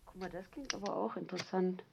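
A woman's voice: on the low side, fairly even intonation, quick pace, vocal fry, slightly rough, full character voice. An actress playing a combative female partner with radical views in naturalistic radio drama, insistent and challenging with pointed questions. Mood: coaxing. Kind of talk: naturalistic radio play dialogue. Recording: dull, lo-fi home tape sound.

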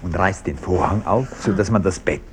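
A man's voice: low-pitched, normal speed. A man narrating the stage directions of a German radio play in a scripted delivery. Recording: loud hiss and clicks, old radio recording.

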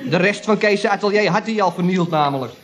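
An actor playing a gleeful, rambling employee who retells mishaps in an old radio comedy, with a Netherlandic Dutch accent. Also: male voice, high-pitched, quick pace, lightly acted. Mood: excited. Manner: rambling, exclamatory storytelling. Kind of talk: 1970s radio comedy acting.

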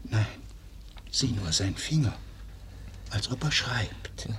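Low-voiced sober guardian. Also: male voice, big pitch swings, takes it slowly, a bit bright, in a whisper, full character voice. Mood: sober, grieving. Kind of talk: German radio play acting.

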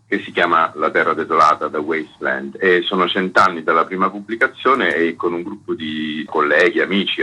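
A man's voice: sits low, pushed and bright, breathy edge, poor audio. A man speaking as an interview guest, explaining an arts programme as its curator. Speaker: guest, festival curator and theatre director.

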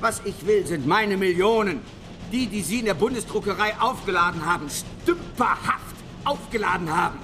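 High-voiced, menacing, scornful man. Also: animated, moderate pace, music in the background. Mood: angry, contemptuous. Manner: commanding, scornful. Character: menacing, contemptuous man demanding his money. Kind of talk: radio crime drama dialogue.

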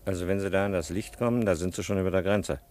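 An actor in a German radio play playing a furtive, self-assured border policeman giving hushed instructions. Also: low-pitched, quick pace, no echo, close to an everyday voice. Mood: furtive, directing.

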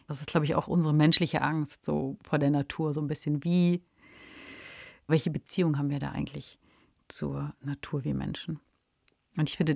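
A woman's voice: deep voice, creaky, darkish tone, no room sound, studio quality. A woman in a casual podcast discussion, explaining things in a chatty, engaged way. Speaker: podcast discussion partner, author.

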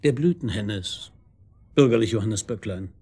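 An actor in a German radio drama playing a wry, sardonic chief detective who questions in a colloquial, insinuating way. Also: male voice, on the low side, normal speed, dark tone, husky. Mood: needling. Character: wry, probing, needling male chief police detective.

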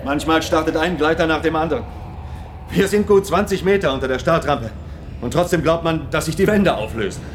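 An actor playing a protective, earnest helper in the underground in a German science-fiction radio drama, speaking with solicitous urgency. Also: male voice, fairly high pitch, a little fast, noisy background, close to an everyday voice. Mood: brisk, welcoming.

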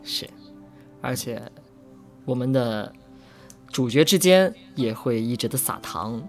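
A man's voice: medium pitch, lively intonation, takes it slowly, faint music behind. A man co-hosting a casual livestream in an easygoing, agreeable way. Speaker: co-host of a livestream for an audio drama.

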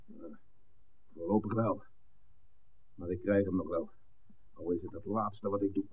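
A man's voice: sits low, steady tone, unhurried. An actor playing a desperate, angry father of a kidnapped daughter in a 1960s radio drama, bitter and accusing. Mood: bitterly resigned. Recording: tinny, noisy old radio recording, distant.

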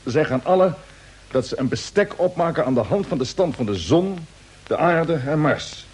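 An actor playing a decisive, businesslike spaceship commander in a radio drama, brisk and commanding, with a husky voice. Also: male voice, fairly high pitch, lively intonation, darkish tone. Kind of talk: Dutch radio drama dialogue.

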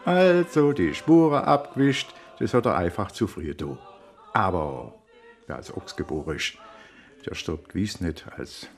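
An actor playing an easygoing, wry provincial police inspector with a southern German accent in a radio drama. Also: male voice, sits low, lively intonation. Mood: wry, amused. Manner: musing, wry, halting.

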